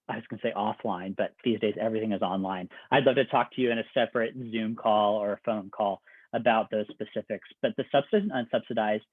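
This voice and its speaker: A man's voice: medium pitch, dry recording. Man explaining things over a tinny line. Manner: conversational, explains step by step with everyday examples. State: voice getting raspy. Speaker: admissions counselor. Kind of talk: informational presentation. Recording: dry, phone-like video call audio.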